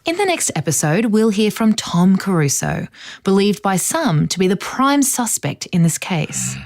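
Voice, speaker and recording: A woman playing an Australian true crime podcast host, narrating in a measured storytelling way. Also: mid-range voice, sing-song, darkish tone. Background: music bed.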